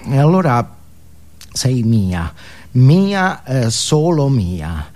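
Male actor as neurotic confessor: self-absorbed, ashamed, stammering, mellow middle-pitched voice, comic theatre monologue. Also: very animated. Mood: obsessive, yearning. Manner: halting, stammering, repeating words, self-justifying.

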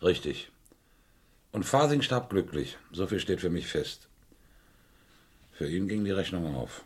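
An actor playing a shrewd, methodical middle-aged police inspector in a 1970s radio crime play, speaking deliberately and probingly. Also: male voice, deep voice, big pitch swings, a little hiss or hum. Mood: shrewd, explaining.

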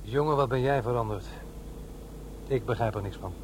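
A man's voice: mid-range voice, normal speed, noisy background, natural voice. An actor playing a practical, sceptical middle-aged divorced father in a Netherlands Dutch radio play, both talking and narrating. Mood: brisk, sceptical.

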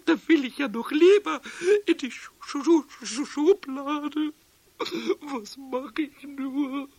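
An actor voicing a cheeky, excitable, childlike little kobold with a high, squeaky voice, stammering and repeating words in a children's radio play. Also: male voice, slow pace, no room sound, full character voice. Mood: tearful, despairing. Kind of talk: 1970s German children's radio play acting.

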